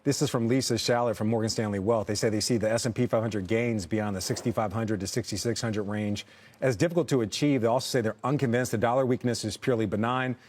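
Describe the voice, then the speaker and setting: A man's voice: fairly low pitch, steady tone, fast talker, a little mellow. A man anchoring a live business news interview, reading out long quotes and asking pointed questions.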